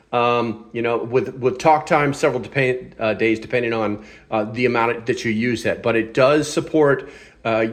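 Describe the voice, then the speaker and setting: A man hosting a casual hands-on product review, talking in an informal, off-the-cuff and self-deprecating way. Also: mid-range voice. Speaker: host of a gear review channel.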